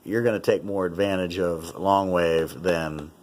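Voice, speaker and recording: A man's voice: sits low, steady tone, measured pace, darkish tone, slight background noise. A North American man giving a casual, unscripted product demonstration, thinking aloud in a plain-spoken, conversational way. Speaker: presenter demonstrating a vintage radio.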